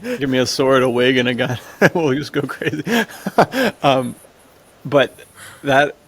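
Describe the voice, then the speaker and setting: A man's voice: medium pitch, lively intonation, measured pace, slight background noise. A man hosting a casual podcast chat, speaking informally and enthusiastically with lots of slang. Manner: informal, enthusiastic, says dude often. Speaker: podcast host.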